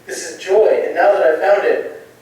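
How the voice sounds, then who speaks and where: A man's voice: fairly even intonation, normal speed, a little mellow, lightly acted. A young man acting an anguished, bitter genius in an amateur stage play, with earnest, emphatic delivery. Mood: elated. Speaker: student actor. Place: indoor theatre run-through without audience. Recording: echoey hall, distant mic, rumbling noise.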